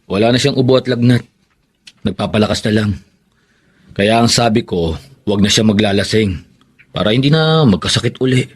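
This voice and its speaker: A man acting a steady, caring older cousin in a radio drama, earnest and reassuring. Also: fairly low pitch, moderate pace, pauses often, slightly rough, dry recording. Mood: matter-of-fact.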